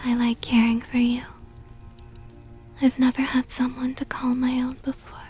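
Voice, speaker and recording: A woman voicing a possessive, doting vampire countess in an intimate ASMR roleplay, whispering softly and slowly with a tender, teasing, coaxing manner. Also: high voice, monotone, soft background music, close to an everyday voice. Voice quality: breathy, soft whisper. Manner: slow, coaxing, affectionate murmur.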